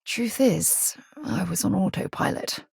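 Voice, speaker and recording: A woman voicing a principled, curious space explorer in a video game, husky and articulate, with a composed, earnest delivery. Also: medium pitch, a little slow, darkish tone, no room sound, studio quality, full character voice. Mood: reflective. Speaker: game voice actor. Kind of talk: video game companion dialogue.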